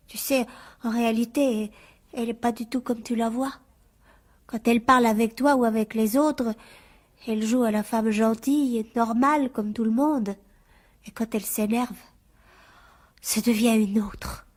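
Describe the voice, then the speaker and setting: A frightened teenage schoolgirl in a radio drama. Her voice is very high, and she pleads anxiously and insistently, as someone desperate to be believed. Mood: fearful, confiding. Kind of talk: French radio drama acting.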